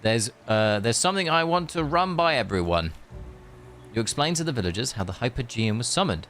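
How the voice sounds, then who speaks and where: A man's voice: medium pitch, big pitch swings, a little fast, fairly bright tone, faint music behind. Sarcastic male game streamer. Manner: sarcastic, joking asides. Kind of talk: casual game stream, reading dialogue aloud.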